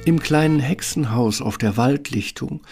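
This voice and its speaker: A man's voice: medium pitch, a little slow, vocal fry, music in the background. A man narrating a fairytale radio play as a scripted storyteller.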